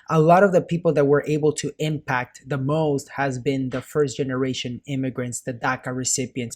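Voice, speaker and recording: A man from Peru co-hosting a podcast, excited and enthusiastic. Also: a little high. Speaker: podcast co-host. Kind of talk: casual podcast chat.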